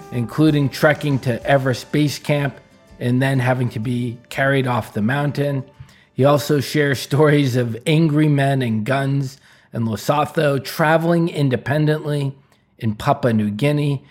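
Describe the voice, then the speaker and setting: A man hosting a travel interview show, with a voice of ordinary middle pitch. He reads his opening at a steady, measured pace and then asks curious follow-up questions. Faint music sits underneath. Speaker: podcast host.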